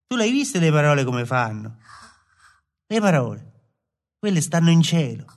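An actor playing a lovelorn, self-absorbed poet in a radio play, rambling colloquially and confidingly with a southern Italian accent. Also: male voice, on the high side, big pitch swings, moderate pace. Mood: eager, self-important.

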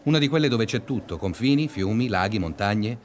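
An actor playing a reflective, pedantic schoolteacher who narrates a confessional radio-drama monologue in measured, deliberate phrases. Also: male voice, lowish voice, animated, slight background noise, natural voice. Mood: reflective, fond.

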